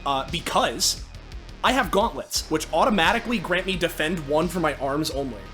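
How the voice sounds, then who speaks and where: A man's voice: fairly high pitch, expressive, moderate pace, bright, forceful tone. A man chatting casually as a player in a tabletop game. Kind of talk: casual chat during a game. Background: faint music.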